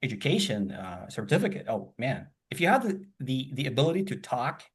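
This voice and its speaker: Middle-aged man, mid-pitched, enthusiastic rambler in a casual video-call interview. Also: very animated, fairly quick, husky. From born in Japan, grew up in several countries across Asia and the Middle East. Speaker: student and hospitality professional.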